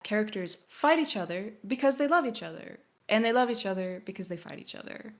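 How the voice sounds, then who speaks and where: A woman co-hosting an analytical conversation, phrasing her points in a deliberate, measured, essay-like way. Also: mid-range voice, lively intonation. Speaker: podcast co-host.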